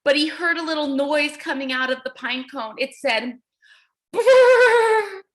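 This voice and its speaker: A woman reading aloud to young children as their teacher, encouraging and exaggerated, pausing to ask questions. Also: high-pitched, bright, forceful tone.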